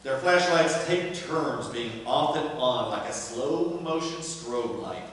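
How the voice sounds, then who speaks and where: A man's voice: mid-range voice, lively intonation, slow, fairly bright tone. A man giving scripted narration, reading out stage directions at a table reading of a play. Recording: echoey hall from far off, faint noise.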